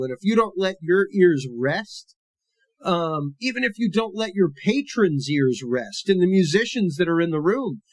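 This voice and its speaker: A man's voice: high voice, dry recording. A man hosting a podcast, talking through a topic in a loose, conversational, explanatory way with a big, full voice. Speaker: podcast host. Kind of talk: casual educational podcast monologue.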